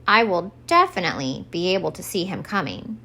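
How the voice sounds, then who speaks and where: Woman voicing an eager young boy, bright voice. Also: fairly low pitch, huge pitch swings, a little fast, full character voice. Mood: determined. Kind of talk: children's storybook read-aloud.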